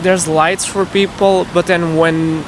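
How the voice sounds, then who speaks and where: A man's voice: a little high, flat delivery, slow pace. A man from Portugal answering street interview questions thoughtfully, sometimes searching for words. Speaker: tourist. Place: city street outdoors. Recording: loud street and traffic noise.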